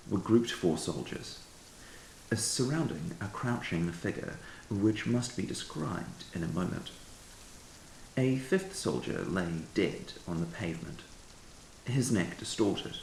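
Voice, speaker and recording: A man reading a literary ghost story as a narrator, in a measured, formal storytelling manner. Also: on the low side, vocal fry. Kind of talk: scripted literary audiobook narration. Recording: echoey room with faint hiss.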